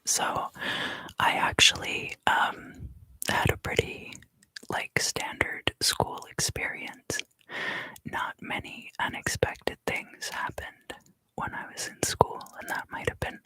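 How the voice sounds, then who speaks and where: A woman in her thirties gives an ASMR tarot reading in hushed, unhurried, deliberate phrases, close to the microphone. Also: low-quality recording. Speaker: tarot reader and ASMR host. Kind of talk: ASMR tarot reading, whispered and softly spoken.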